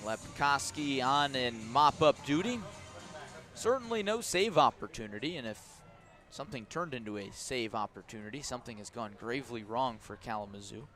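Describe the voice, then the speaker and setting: A man doing live radio play-by-play of a baseball game, giving measured calls mixed with explanatory asides and dry understatement. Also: mid-range voice, animated, a bit bright, soft background music. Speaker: baseball play-by-play announcer for a team's radio broadcast. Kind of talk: live radio sports commentary. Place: outdoor ballpark at night.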